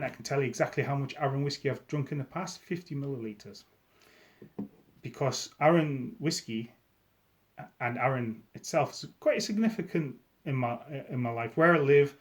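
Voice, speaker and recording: A middle-aged Scottish man with a Scottish accent, chatting casually to camera about whisky as a vlogger, easygoing, with frequent restarts. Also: mid-range voice. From Scotland.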